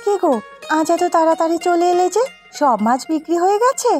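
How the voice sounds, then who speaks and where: A woman voicing a brisk, expressive village housewife in a folk-tale cartoon. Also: extremely high pitch, faint music behind. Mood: questioning. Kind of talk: Bengali cartoon dialogue dubbing.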